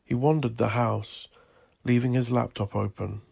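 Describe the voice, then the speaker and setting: A man narrating dark horror fiction in a deliberate, literary storytelling manner. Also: medium pitch, fairly even intonation, vocal fry, slightly rough.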